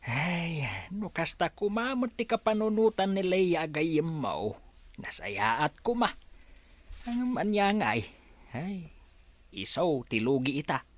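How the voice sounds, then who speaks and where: A man's voice: on the high side, sing-song, slow, no room sound. A man with an Ilocano accent playing a stern, disappointed older father in a radio drama. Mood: weary, regretful.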